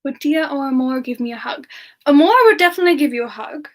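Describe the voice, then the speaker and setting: Woman voicing a gentle, high-pitched young frost demoness. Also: quick pace, soft background music, close to an everyday voice. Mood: gentle, chatty. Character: gentle young female frost demon singer, sweet and affectionate. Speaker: streamer answering viewer questions in character. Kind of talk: semi-ASMR in-character live Q&A.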